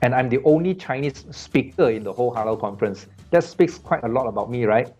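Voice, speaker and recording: Halting, repetitive Malaysian businessman. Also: medium pitch, lively intonation, darkish tone, muffled recording, faint music behind. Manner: halting, many repeated words and fillers. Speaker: business panel guest. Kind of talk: casual panel conversation.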